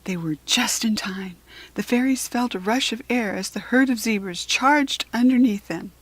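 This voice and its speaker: American woman reading a children's story, slightly husky. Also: a little high, moderate pace, dry recording. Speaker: read-aloud children's book narrator. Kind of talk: scripted storybook narration for children.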